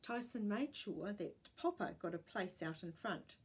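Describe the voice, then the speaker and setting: Husky New Zealand woman reading a story. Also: medium pitch, animated, measured pace. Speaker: storyteller reading a children's book. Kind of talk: expressive storybook narration.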